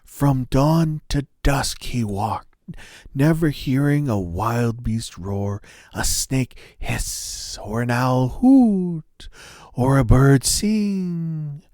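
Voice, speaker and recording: A man narrating a scripted fairy tale as a storyteller, in a measured, dramatic manner. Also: mid-range voice, darkish tone, dry recording, studio quality.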